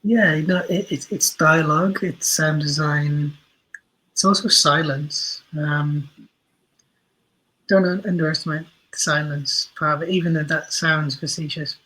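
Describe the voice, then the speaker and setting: A man with a husky voice talks reflectively as an interview guest. His delivery halts and restarts, then presses firmly on important phrases, often saying them twice. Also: a little high, steady tone, pushed and bright, poor audio. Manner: halting, emphatic, repeats phrases for stress. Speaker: guest, audio drama writer.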